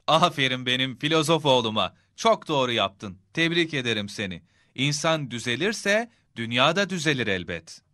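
Bright, animated cartoon dad. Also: male voice, medium pitch, normal speed, no echo, clean studio sound. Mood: amazed, proud. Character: indulgent, animated father of a small boy. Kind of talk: children's cartoon voice acting.

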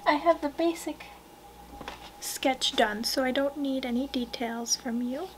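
A young woman doing a soft-spoken ASMR roleplay as a portrait painter, hushed and gentle, at times slipping into a whisper. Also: high voice, a little slow, a little hiss or hum, poor audio. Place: small wood-panelled room indoors.

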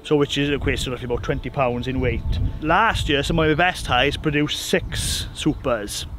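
A man's voice: medium pitch, normal speed, a little hiss or hum. A man in his thirties from Wales, a beekeeper talking casually about his work, often repeating words as he goes. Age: 30s. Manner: relaxed, repeats words as he talks. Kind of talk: casual interview chat. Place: farm kitchen and woodland hive site.

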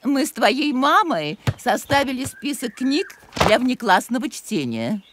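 Haughty, swooping strict nanny. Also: female voice, high-pitched, big pitch swings, a little slow, noisy background. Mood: stern. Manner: imperious, condescending. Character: strict, haughty, bossy older nanny, seasoned teacher. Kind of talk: cartoon voice acting.

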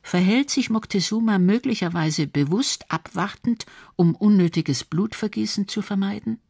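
A woman's voice: medium pitch, moderate pace, vocal fry, dark tone, slightly rough, dry recording, studio quality. A woman reading scripted documentary narration.

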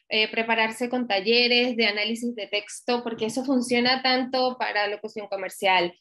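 A young woman from Venezuela with a Venezuelan accent, a voice actress chatting as a guest over a video call, lively and laughing often. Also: on the high side. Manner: lively, laughs often, acts out quoted lines. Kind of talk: casual interview chat. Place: home room, video call.

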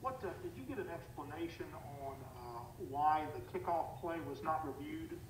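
A man's voice: fairly high pitch, lively intonation, moderate pace. A man, a reporter asking questions at a press conference. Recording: distant voice with clear background noise.

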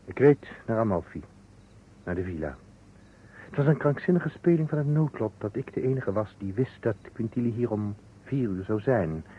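Actor as weary surgeon on noisy old radio. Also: male voice, lowish voice, animated, moderate pace, narrow, tinny audio, close to an everyday voice. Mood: grave, confessing. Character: weary male surgeon coolly confessing a false alibi. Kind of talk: old Dutch radio play acting.

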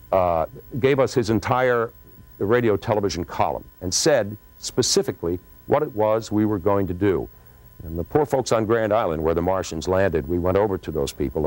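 An American man in his fifties recalling events in an interview, speaking in a deliberate, emphatic storytelling way. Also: deep voice, a little mellow, dry recording, poor audio. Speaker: former radio producer recalling a broadcast. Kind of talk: interview recollection. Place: TV studio with curtain backdrop.

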